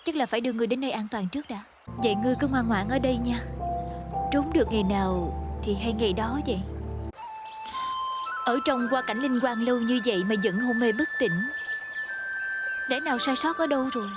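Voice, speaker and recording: A woman playing a young healer from a secret tribe in a dubbed fantasy costume drama, caring but quick to scold. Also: fairly high pitch, lightly acted.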